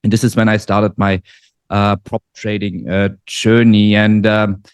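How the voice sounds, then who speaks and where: A man in his forties speaks English with a German accent, in a fairly low voice. He talks steadily, with plenty of "um"s and restarts. The sound is close and dry, with no room around it. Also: level tone, clean tone, dry recording. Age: 40s. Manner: measured, many fillers and restarts. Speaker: guest trader. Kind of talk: casual interview. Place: living in Dubai.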